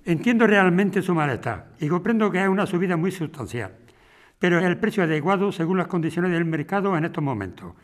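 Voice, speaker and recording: A man with an accent from Spain playing a smooth, unyielding property company agent in an audio drama, formal, measured and condescending. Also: high voice, big pitch swings.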